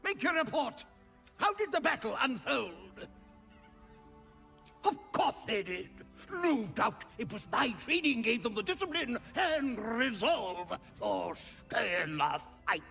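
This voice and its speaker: An actor playing a pompous, blustering bird military commander in a video game, barking clipped and self-important orders. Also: male voice, very high voice, sing-song, slow pace, vocal fry, pushed and bright, faint music behind, full character voice. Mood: smug. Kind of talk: video game character acting.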